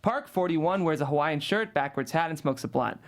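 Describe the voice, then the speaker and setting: A man's voice: fairly high pitch, animated, brisk. A man reading scripted stage directions as the narrator of a table read.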